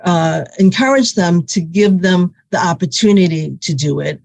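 Halting woman physical therapist, dry sound. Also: lowish voice, creaky, darkish tone, no echo. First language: Cantonese. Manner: halting, many fillers and restarts. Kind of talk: interview answers.

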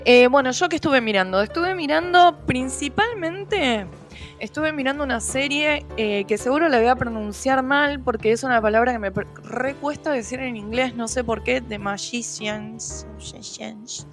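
A woman's voice: high voice, normal speed, soft background music. A woman with a Rioplatense Spanish accent, co-hosting a casual podcast chat, slangy and full of fillers. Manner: chatty, slangy, frequent fillers. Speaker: podcast co-host.

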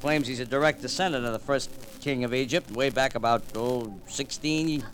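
A man's voice: medium pitch, moderate pace, fairly bright tone, close to an everyday voice. An actor playing a chatty, slightly tipsy male newspaper reporter in a 1940s radio drama, slangy and easygoing. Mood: chatty, musing.

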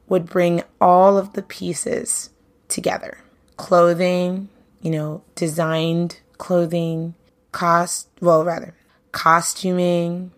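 A young adult woman from Brooklyn, hosting her own podcast in a chatty, upbeat, casual way, full of "you know"s. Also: fairly low pitch. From Brooklyn, New York. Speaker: podcast host, singer and bandleader. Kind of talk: casual solo podcast monologue.

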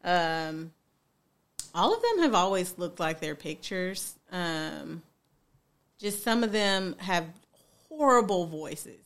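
A woman with a deep voice, co-hosting a podcast and chatting in a teasing, blunt, slangy way. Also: big pitch swings, slow pace, long pauses, fairly bright tone. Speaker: podcast co-host. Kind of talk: casual chat.

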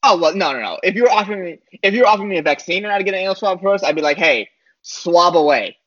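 A comedian with a high voice riffs sarcastically and breaks into laughs between punchlines. The recording is dry and a little dull, with no room sound. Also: male voice, animated, fast, fairly bright tone, no room sound. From United States. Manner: joking, laughs mid-sentence, sarcastic asides. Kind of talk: comedic podcast banter.

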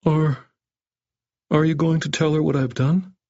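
Man voicing a high-pitched, terrified, cringing doctor. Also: huge pitch swings, slow pace, fairly bright tone, dull audio, exaggerated voice. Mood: meek. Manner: stammering, pleading, wailing. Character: terrified, cringing, vain middle-aged male doctor. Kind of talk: audiobook character voice.

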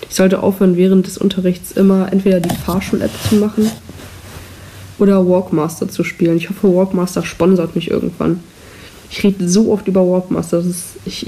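A woman's voice: on the low side, mellow. Teenage girl rambling into a hissy mic. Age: teens. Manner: rambling, self-deprecating, frequent restarts. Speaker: podcast host. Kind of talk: casual solo chat. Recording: noisy cheap microphone with hiss.

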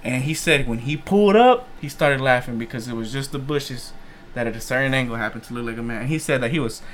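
A man chatting casually and telling stories in a slangy, animated way, swearing freely. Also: medium pitch, quick pace, a little hiss or hum. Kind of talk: casual chat, storytelling.

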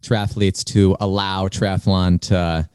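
A man hosting a podcast, reading a measured scripted introduction and then interviewing his guest, with small restarts. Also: sits low, level tone, a little mellow, clear, resonant tone, dry recording, clean studio sound. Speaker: podcast host.

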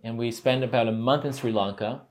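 A man's voice: medium pitch, fairly even intonation, brisk, darkish tone. A middle-aged man, a native Hebrew speaker from Israel, talking casually as an interview guest with many fillers and restarts.

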